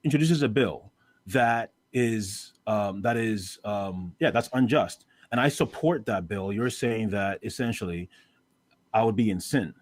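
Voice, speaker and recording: A man from Canada arguing in a live debate, pressing his point with rapid repeated restarts. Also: lowish voice, dry recording. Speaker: debate guest.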